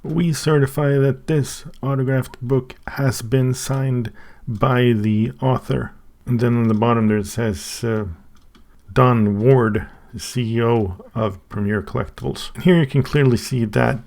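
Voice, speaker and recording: Man, Swedish native speaker, very gravelly voice, halting, casual unboxing talk. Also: mid-range voice, steady tone, a little mellow. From Sweden. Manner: halting, thinking aloud with many restarts. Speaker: channel host.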